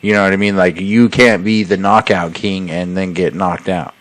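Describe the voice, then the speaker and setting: A man's voice: sits low, steady tone, moderate pace, dry recording. A young man in casual podcast chat, explaining things in a laid-back way and calling his friend bro and dude. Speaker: podcast host.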